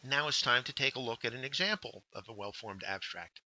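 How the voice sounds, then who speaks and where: A man narrating a scripted instructional workshop, explaining step by step with deliberate emphasis on key words. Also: mid-range voice, pushed and bright, rough recording. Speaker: workshop instructor, journal editor.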